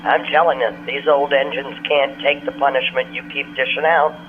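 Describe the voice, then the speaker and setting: A man's voice: medium pitch, flat delivery, normal speed, slight background noise. A man playing an irascible alien ship's engineer in a sci-fi audio drama, blunt and scolding, heard through an intercom. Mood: exasperated scolding. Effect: ship intercom filter. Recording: tinny intercom, phone-like band, faint music.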